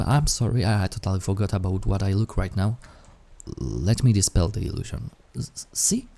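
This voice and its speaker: Casting: male actor as intimate incubus demon for roleplay audio, dark mellow tone, gravelly and breathy. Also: on the low side, full character voice. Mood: flustered, apologetic. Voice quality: husky, breathy, often dropping to whisper. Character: incubus sleep paralysis demon, menacing turned awkwardly tender.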